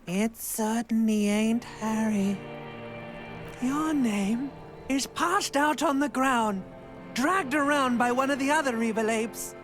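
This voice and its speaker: A woman's voice: fairly high pitch, slow pace. A woman voicing an eerie voice of the limbic system in a game, sly, needling and theatrical, insinuating with knowing cruelty. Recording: music behind the voice.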